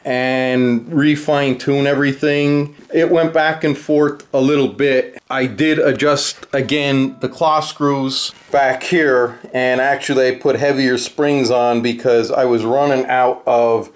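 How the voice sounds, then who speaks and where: A man hosts a casual hands-on tutorial in an unscripted, blunt way. His pace is very unhurried and his pitch sits in a middle range for a man. He explains things step by step. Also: fairly even intonation. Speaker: host of a guitar gear tutorial and review.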